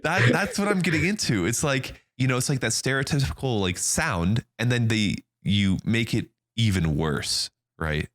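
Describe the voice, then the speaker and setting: A man's voice: medium pitch, expressive, normal speed, few pauses, fairly bright tone, no echo, clean studio sound. A man from Germany, a native German speaker, chatting casually in English with blunt, slangy opinions and some swearing.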